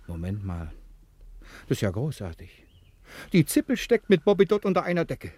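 A man's voice: medium pitch, wildly swooping pitch, moderate pace, darkish tone, slightly rough, no echo. An actor playing a roguish, resourceful husband on the run in a German adventure comedy drama, explaining his plans in a playful, conspiratorial way. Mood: excited scheming.

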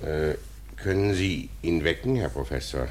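A high-ranking judge in a radio drama. His very deep voice is measured and commanding, with curt, self-assured phrasing. Slight noise lies behind it. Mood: observant, composed. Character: self-assured, authoritative male high court president, observant. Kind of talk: German radio drama acting.

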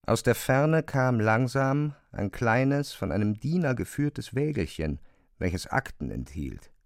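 A man narrating a literary radio drama in a measured, precise way, as a composed observer. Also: mid-range voice, sing-song, vocal fry, dry recording, studio quality, heavily acted. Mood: measured, observant. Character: male narrator of an absurd bureaucratic tale, observant and composed.

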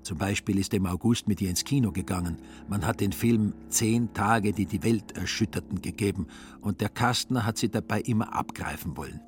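A man's voice: lowish voice, fairly quick. A man narrating a literary radio play in a dry, ironic storytelling manner. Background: music.

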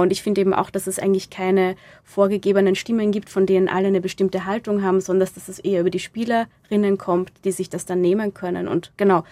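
A woman with a mellow, medium-pitched voice talks analytically in an interview. She searches for words as she thinks aloud. The recording is dry and free of room sound. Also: no echo.

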